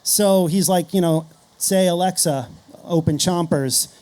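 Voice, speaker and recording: A man's voice: high-pitched, measured pace, darkish tone. A middle-aged man speaking informally and anecdotally as a panelist in a casual conference discussion. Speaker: panelist, podcast host.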